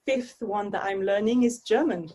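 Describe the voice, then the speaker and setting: A woman's voice: mid-range voice, moderate pace, darkish tone, breathy edge. Belgian woman, French native, chatting. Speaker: dance artist guest. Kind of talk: casual interview chat.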